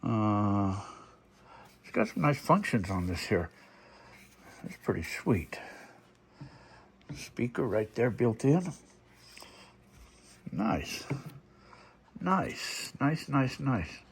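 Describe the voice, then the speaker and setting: A man's voice: lowish voice, sing-song, drawn-out words, vocal fry, pauses often, breathy edge. A man giving a casual, unscripted product demonstration, thinking aloud in a folksy way as he looks things over. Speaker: product reviewer showing gadget on video. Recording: faint background noise.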